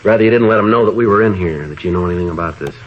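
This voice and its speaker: An actor playing a terse, polite, matter-of-fact police detective sergeant in an old-time radio drama. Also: male voice, low voice, very fast, slight background noise, close to an everyday voice. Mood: matter-of-fact, firm. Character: clipped, businesslike male police sergeant detective, polite, unflappable. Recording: muffled old radio recording.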